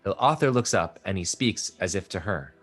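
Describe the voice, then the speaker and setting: A man's voice: deep voice, lively intonation, moderate pace, a bit bright, soft background music. A man narrating the stage directions of a play from a script.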